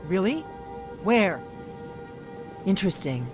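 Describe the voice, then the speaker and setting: A blunt, conspiratorial veteran of a hidden order of guards speaks in a video game scene, her voice at an ordinary pitch. She talks low and urgent, as if sharing secrets. Game music plays clearly behind her. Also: big pitch swings, slow. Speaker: game character.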